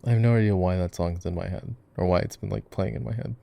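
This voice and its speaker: Man playing a low, gravelly gamer boyfriend. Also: fairly even intonation, quick pace, warm tone. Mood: amused, musing. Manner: rambling, self-interrupting, playful. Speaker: voice actor, roleplay performer. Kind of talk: casual ASMR roleplay, chatty gaming commentary.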